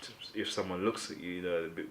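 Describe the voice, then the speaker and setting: Low-voiced man chatting over background noise. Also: steady tone, brisk, fairly bright tone. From Uganda. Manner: explanatory, conversational, often says "you know". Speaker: talk show co-host. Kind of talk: casual opinionated discussion.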